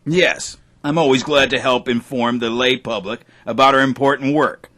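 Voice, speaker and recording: Man playing a bright-voiced pompous scientist. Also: medium pitch, fairly even intonation, normal speed, natural voice. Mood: self-important, formal. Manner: precise, pompous, formal. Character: self-important male genetic engineer and entrepreneur, precise, pompous. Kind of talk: audio drama acting.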